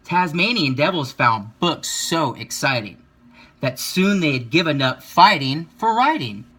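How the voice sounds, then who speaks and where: Bright-voiced man reading a children's story. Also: on the high side, lively intonation, a little slow, slightly rough. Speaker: reader of a children's picture book. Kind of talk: children's story read-aloud.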